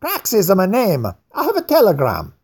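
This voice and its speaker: A reader voicing a fat, excitable middle-aged man who speaks in a shrill, whiny, overwrought way. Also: normal speed, husky. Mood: insistent.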